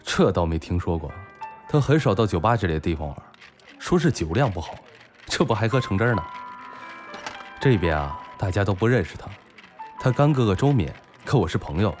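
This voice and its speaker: Man acting a brash, lively, deepish-voiced bar owner. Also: brisk, music in the background. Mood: bantering. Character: brash, bantering bar owner, foul-mouthed loyal buddy. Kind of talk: Chinese audio drama acting.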